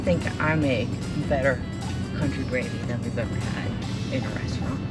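A woman's voice: extremely low pitch, normal speed. A woman in her thirties chatting casually and playfully as a travel vlogger. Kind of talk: casual chat. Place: truck cab, woods on a hike. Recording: music behind the voice.

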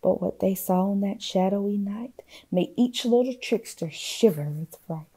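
A woman reading a children's picture book aloud expressively, with theatrical hushes and swells. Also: mid-range voice, moderate pace, breathy edge. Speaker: storytime reader.

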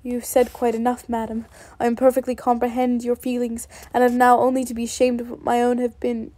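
Darcy, a woman reading a cold proud gentleman. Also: fairly high pitch, completely flat delivery, fairly quick, no room sound, close to an everyday voice. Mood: cold restraint. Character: proud wealthy young gentleman, cold and stiff.

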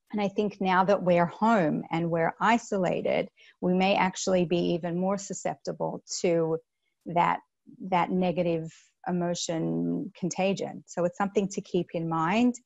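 A woman's voice: lowish voice, vocal fry, darkish tone, dry recording, highs cut off. A woman in her thirties with an Australian accent, giving an earnest webinar talk to teachers with many fillers and restarts, on an earphone mic over a video call. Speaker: school educator on a panel. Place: small room indoors.

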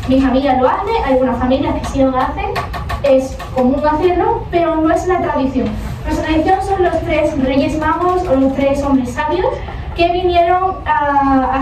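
A woman's voice: high voice, background noise. A teenage girl from southern Spain with an Andalusian accent, giving a class presentation with hesitant fillers, recorded from a distance in a classroom. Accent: Andalusian Spanish. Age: teens. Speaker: exchange student presenting. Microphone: far camera. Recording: echoey classroom from far off.

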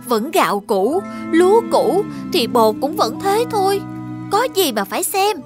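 High-pitched, bossy village wife. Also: female voice, big pitch swings, measured pace, heavily acted. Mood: bossy, scolding. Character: sharp-tongued village women, gossipy and bossy. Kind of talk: animated fairy tale dialogue. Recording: prominent children's music behind the voice.

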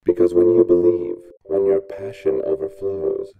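A man's voice: deep voice, normal speed, narrow, tinny audio. A man reading a dramatic motivational voice-over through a robotic synth vocoder. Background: synthesizer tones.

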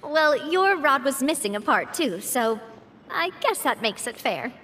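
A woman voicing a cheerful, sincere young woman leader in a video game, speaking in a gentle, earnest, measured way. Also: sits high, sing-song, a little hiss or hum, full character voice. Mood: warm, playful. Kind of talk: animated video game voice acting. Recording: soft music behind the voice. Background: soft game music.

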